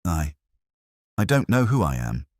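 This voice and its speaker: A man plays a glamorous, desperate woman in a detective story. The voice stays low for her, yet swings up and down as she pleads haltingly in fear. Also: very animated, no room sound, studio quality, exaggerated voice. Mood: desperate pleading.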